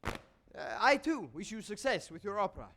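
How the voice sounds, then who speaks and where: An actor on a theatre stage playing a jealous, courtly male composer, formal and measured, with bitterness under the politeness. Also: sits high, big pitch swings, bright, forceful tone, slight background noise, heavily acted. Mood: courteous. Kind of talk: theatrical stage acting with dramatic monologue.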